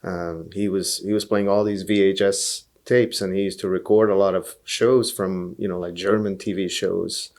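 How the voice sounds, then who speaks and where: Low-voiced Hungarian man, earnest. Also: level tone, normal speed, hardly any pauses, darkish tone. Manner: earnest, repeats words as he gathers thoughts. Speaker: podcast guest, blues musician. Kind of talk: relaxed interview storytelling.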